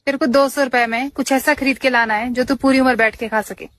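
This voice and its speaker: A woman's voice: high-pitched, steady tone, fairly quick, heavy background noise. A woman hosting a casual riddle quiz, putting questions and bantering over the answers.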